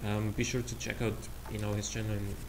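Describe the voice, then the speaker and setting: Gravelly Austrian man rambling over gameplay. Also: on the low side, level tone, brisk. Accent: Austrian German. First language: German. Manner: rambling, hesitant, many fillers and restarts. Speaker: let's play host. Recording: noisy home recording.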